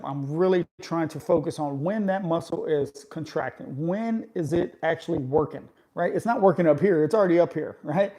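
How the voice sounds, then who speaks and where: A man in his forties with a slightly higher voice than most men talks fitness with his live audience. He sounds loose and conversational, like a friendly coach. He keeps checking in with listeners, ending points with a quick "right?". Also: mellow. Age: 40s. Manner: conversational, coaching, often tags remarks with "right?". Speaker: fitness vlogger. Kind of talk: casual live chat with viewers.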